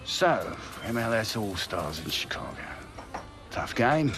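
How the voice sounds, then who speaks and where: A man's voice: lowish voice, sing-song, slow pace, music in the background. An actor playing a middle-aged father and football scout in a game drama, casual, coaxing and evasive. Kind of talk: video game cutscene drama.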